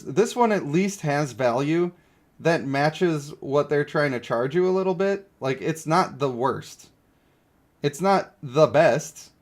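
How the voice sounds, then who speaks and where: A man giving casual, opinionated livestream commentary on a mobile game, blunt and given to exasperated ranting. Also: fairly high pitch. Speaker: game streamer.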